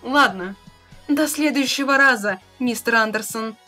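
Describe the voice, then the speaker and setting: A woman's voice: a little high, a little slow, pushed and bright, soft background music. A woman playing a smug, condescending young schemer with a theatrical manner in a webcomic reading. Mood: teasing. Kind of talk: dramatic reading of a webcomic chat.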